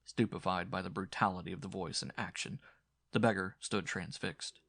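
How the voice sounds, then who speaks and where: A male audiobook narrator reading horror fiction dramatically. His voice is fairly low and gravelly, with a forceful edge, recorded very dry. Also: fairly even intonation, normal speed, no echo.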